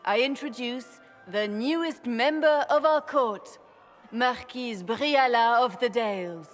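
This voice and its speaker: A woman's voice: a little high, slow pace, soft background music. A woman playing a regal, commanding empress in a fantasy video game, with a formal, stately and imperious manner. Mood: proud, ceremonial. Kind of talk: dramatic video game acting.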